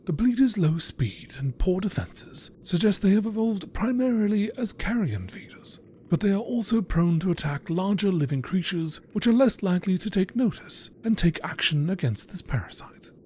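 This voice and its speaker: A man reading scripted nature documentary narration in a measured, expository manner. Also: high-pitched, animated, darkish tone, a little hiss or hum. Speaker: narrator reading game text.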